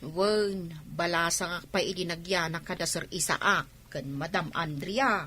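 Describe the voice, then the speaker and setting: A woman's voice: lowish voice, unhurried, pushed and bright, a little hiss or hum. A woman playing a fussy, coy older housemaid in a radio drama.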